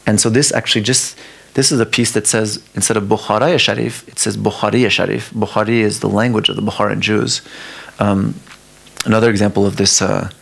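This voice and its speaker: Man, low voice, gravelly, native farsi speaker, artist's museum talk, halting and restarting. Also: fairly even intonation. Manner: halting, restarts often, talks through ideas. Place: museum lecture hall.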